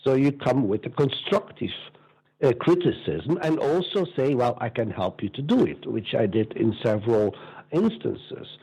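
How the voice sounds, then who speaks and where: A man's voice: medium pitch, expressive, normal speed, mellow, breathy edge, poor audio. A man in his sixties with a Belgian accent, telling stories emphatically and with strong opinions as an interview guest. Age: 60s. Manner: emphatic, opinionated, repeats words. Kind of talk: animated conversational storytelling.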